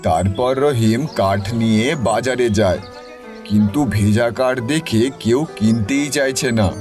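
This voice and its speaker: A man's voice: medium pitch, slow pace, a little mellow, soft background music. A man giving scripted narration for a cartoon story.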